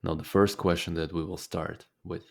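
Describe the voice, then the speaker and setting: A man leading a guided meditation as a teacher, speaking calmly and deliberately with frequent pauses. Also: low-pitched, creaky.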